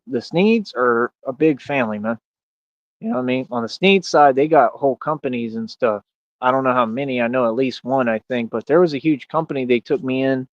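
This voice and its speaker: A dry, close, slightly dull lo-fi recording of a man in his thirties with a mid-pitched voice. He streams a loose, casual monologue, boastful and defiant, leaning on slang as he goes. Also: no echo. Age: 30s. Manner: slangy, peppered with "man" and "bro". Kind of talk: casual rambling livestream monologue.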